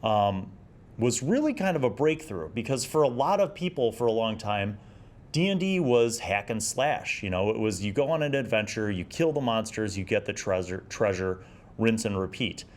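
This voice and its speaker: A man's voice: lowish voice, animated, moderate pace. A man casually talking through an old gaming magazine as host, with an enthusiastic, rambling manner. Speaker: host of a gaming retrospective show. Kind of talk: casual magazine review with read-aloud passages.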